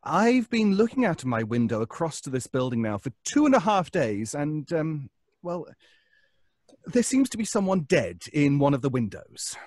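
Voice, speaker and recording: A man's voice: on the high side, sing-song, darkish tone, dry recording, clean studio sound. An actor playing an anxious, hesitant, fussy man who stammers, in old-time radio suspense style. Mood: polite, hesitant. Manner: stammering, flustered, halting.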